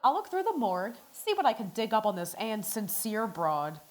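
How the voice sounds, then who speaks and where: A woman's voice: mid-range voice, sing-song, normal speed, close to an everyday voice. An actress in a radio play playing a wisecracking woman newspaper reporter, slangy and sardonic, in an old-time style. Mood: pushy, confident.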